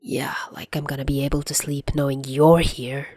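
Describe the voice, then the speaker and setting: A woman voicing a hot-tempered, stubborn injured young man in an audio story, gruff and defensive. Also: extremely low pitch, flat delivery, normal speed, darkish tone, dry recording, clean studio sound. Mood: grumbling, weary.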